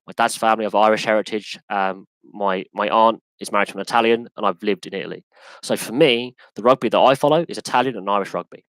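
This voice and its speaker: A man with an English accent hosting a live sports podcast Q&A, talking in an animated, emphatic way that often restarts and repeats itself. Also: fairly low pitch, fast talker, dry recording, rough recording. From England. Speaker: podcast host. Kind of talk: live Q&A rant.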